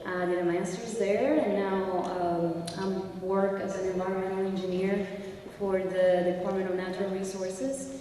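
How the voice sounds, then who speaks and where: A woman's voice: mid-range voice, little pitch movement, moderate pace, dark tone, slight background noise. A woman, giving earnest short thanks with frequent fillers. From Colombia. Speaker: new citizen giving a short speech. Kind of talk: short spoken thanks at a ceremony. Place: large courtroom or hall. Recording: echoey hall, heard from far off.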